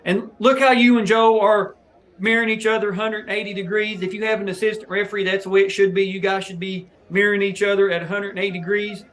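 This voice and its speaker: Male host with a high voice, teaching-style breakdown of officiating footage. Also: little pitch movement, fast talker, fairly bright tone. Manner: explanatory, coaching tone toward new officials. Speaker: host reviewing match footage. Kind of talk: instructional match breakdown for officials.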